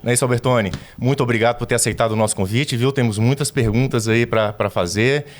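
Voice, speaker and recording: A man's voice: medium pitch, quick pace. Guy co-hosting a podcast, chatty, rambling questions, regular voice. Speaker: podcast co-host. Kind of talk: casual interview questions.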